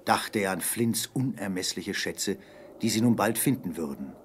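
A man's voice: low voice, animated, normal speed, slight background noise. A man narrating an adventure story in a dramatic, scripted style.

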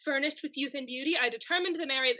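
A woman playing a pert, high-voiced young wife. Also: fast talker, sharp tone. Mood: cool, frank. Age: 20s. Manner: haughty, teasing, theatrical. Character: pert, defiant, fashionable young society wife. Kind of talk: period comedy stage acting over video call. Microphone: video call, at laptop distance.

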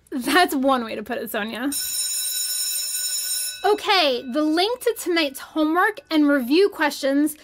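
A woman's voice: sits high, animated, takes it slowly, long pauses, a little hiss or hum, full character voice. A woman playing a patient schoolteacher lecturing a class in an audio drama. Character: patient schoolteacher giving a history lesson.

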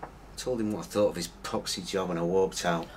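An actor in a radio drama playing an evasive, restless husband pleading his case, with a husky voice. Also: low-pitched, steady tone, a little fast, a little mellow, a little hiss or hum, natural voice. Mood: defensive, frustrated.

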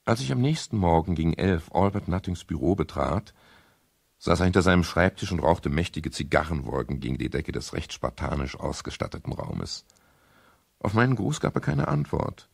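Very deep, gravelly laconic private detective. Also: male voice, animated, moderate pace, no room sound, full character voice. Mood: dry narration. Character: dry, laconic male private detective and narrator. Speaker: actor and first-person narrator in a radio drama. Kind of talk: 1970s German radio crime drama acting. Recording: noisy old radio recording with clicks.

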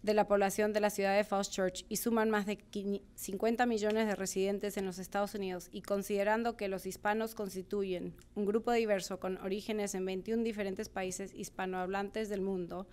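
A woman reads a formal proclamation in a steady, formal manner at a podium microphone in a council meeting room. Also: mid-range voice, fast talker, few pauses, clear, resonant tone. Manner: steady, formal, with small restarts. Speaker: council member reading a proclamation.

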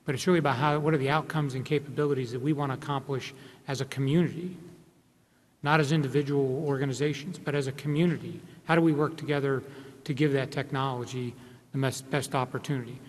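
A man's voice: medium pitch, steady tone, fast. A man in his forties, seated on a conference panel, explaining his points earnestly, with frequent restarts. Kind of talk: panel discussion remarks. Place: large conference hall, on stage at a panel table. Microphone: table microphone. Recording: long reverb of a large hall.

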